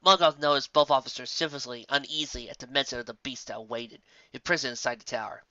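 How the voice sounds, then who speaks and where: A man's voice: medium pitch, steady tone, fast talker, sharp tone, no echo, lo-fi sound. A man reading a fantasy story aloud as a dramatic, theatrical and emphatic narrator. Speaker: narrator of fan fiction audiobook.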